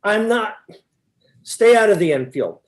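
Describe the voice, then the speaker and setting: A performer acts out a confused, increasingly exasperated questioner in a stage comedy double act. The voice sits at a middle pitch and pushes insistently through each question. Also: very animated, measured pace. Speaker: amateur readers theater performer. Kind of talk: staged comic dialogue reading.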